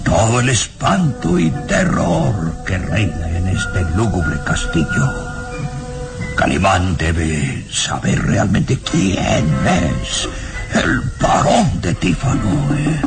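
An actor playing a frightened, nervous professor in a melodramatic radionovela, with anguished, trembling exclamations. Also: male voice, low-pitched, sing-song, slow, gravelly, full character voice. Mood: fearful. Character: frightened, nervous professor horrified by dark secrets. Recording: old radio drama, very loud music.

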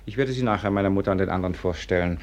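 A man playing an irritable, proud young Russian gentleman in a 1950s German radio drama, agitated and sharp-tongued. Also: low voice, very fast, natural voice. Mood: tense, grudging.

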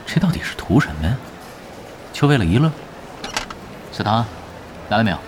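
A man's voice: fairly low pitch, sing-song, normal speed, noisy background. An actor playing a patient, teasing veteran gamer who coaches his team in an audio drama. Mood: musing, wry. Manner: instructive, drily teasing. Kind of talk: Chinese radio drama acting.

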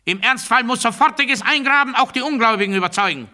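An actor playing an obedience-obsessed man delivering absurd totalitarian doctrine in a deliberate, declamatory radio play monologue. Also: very high-pitched, exaggerated voice. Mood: strident proclamation. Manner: deliberate, declamatory, swinging from hushed confiding to strident proclamation.

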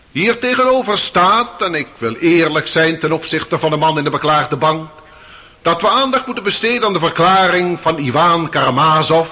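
A stern, accusing prosecutor in a radio courtroom drama. His voice sits high for a man and has a bright, forceful edge. He delivers his case as solemn, theatrical oratory. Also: exaggerated voice. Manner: rhetorical, declamatory, solemn. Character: stern, accusing male prosecutor in a Russian murder trial.

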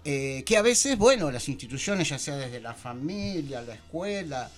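An Argentine man hosting a radio talk show, speaking informally and haltingly as he searches for words in a discussion. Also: on the high side, very animated, a crowd in the background. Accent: Argentine Spanish. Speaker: radio host. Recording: music under the voice. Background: music.

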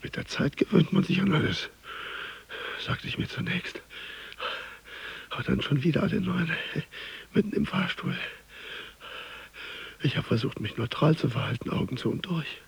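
A man's voice: on the high side, animated, moderate pace, vocal fry, pauses often, husky, exaggerated voice. An actor playing a bullied, worn-out office man at the gym, brooding aloud in a resigned way in a radio play. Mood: resigned, bitter.

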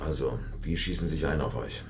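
An actor playing a nervous, evasive village schemer in a radio crime drama, with a hesitant manner. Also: male voice, extremely low pitch, brisk, gravelly, noisy background. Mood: uneasy, conspiratorial. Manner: hesitant, stammering when pressed.

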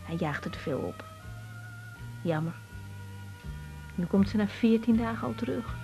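A woman's voice: medium pitch, unhurried, creaky, music in the background. An actress playing a naive, confiding young woman doctor with emotional delivery in a 1980s radio drama, with a Netherlands Dutch accent. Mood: reflective, troubled.

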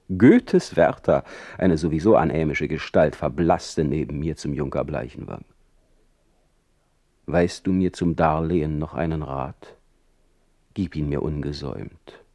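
A proud, brooding German poet in a radio play. His voice is very low and gravelly, recorded dry and close. He speaks rhetorically, with theatrical irony. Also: darkish tone, no echo, heavily acted. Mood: bitterly ironic. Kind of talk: German radio play acting, letter monologue.

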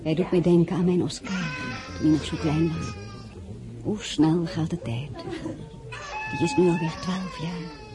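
An actress playing a dignified, quietly defiant French princess and mother in a historical radio drama, measured and often dropping to near whisper. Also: on the low side, huge pitch swings, music in the background. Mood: tender, wistful. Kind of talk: Dutch-language historical radio drama acting.

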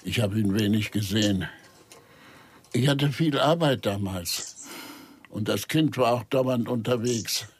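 Husky, slow elderly man, muddled. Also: fairly low pitch. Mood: wistful. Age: older. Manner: halting, forgetful, repeating himself. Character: elderly confused father, brusque and wandering in thought. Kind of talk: radio crime drama acting.

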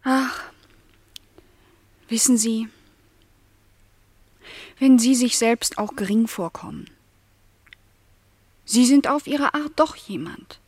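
An actress playing a lonely twenty-year-old housemaid in a radio play, wistful and stubborn, speaking earnestly and insistently. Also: female voice, on the high side, slow, pauses often, a little mellow, dry recording. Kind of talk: literary radio play dialogue.

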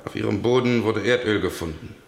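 An actor playing a stern judge in a radio play, questioning in a formal and insistent manner. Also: male voice, fairly low pitch, brisk, gravelly, roomy sound. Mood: formal, probing. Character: stern male judge pressing for answers. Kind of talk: German radio play acting.

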